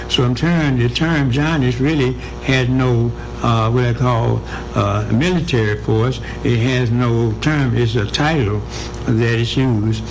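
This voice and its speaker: A man speaking as a guest on a radio interview, halting and repeating himself, with many fillers. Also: medium pitch, rough recording.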